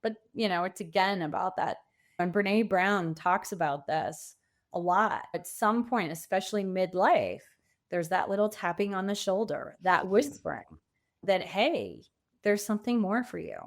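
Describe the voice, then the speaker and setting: Animated, emphatic woman in interview. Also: mid-range voice, unhurried. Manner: animated, emphatic, informal, acts out quotes. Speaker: podcast guest, coach and author. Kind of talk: conversational interview answers.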